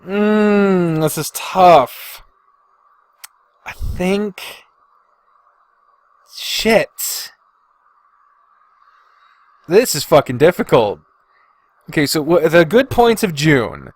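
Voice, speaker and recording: A man talks unhurriedly as a solo podcast host, close and dry with no room sound. He deliberates out loud, hesitant and casual, and swears freely as he goes. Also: a little high, expressive, dry recording. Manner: hesitant and deliberating, sighing, profane. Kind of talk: casual solo podcast commentary, thinking aloud.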